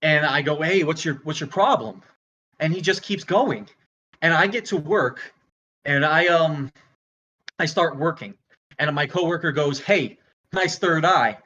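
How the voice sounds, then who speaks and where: A man playing a volatile, failing stand-up comedian in a screenplay read, halting, stammering and exasperated. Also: fairly high pitch, sharp tone, muffled recording. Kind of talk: dramatic screenplay table read, stand-up monologue.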